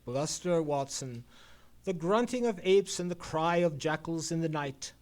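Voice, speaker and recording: A man's voice: a little high, measured pace, darkish tone, lightly acted. An actor playing an erudite, world-weary male detective in an old-time radio play, with a pedantic and eloquent manner. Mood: world-weary disdain. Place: live performance in a theatre.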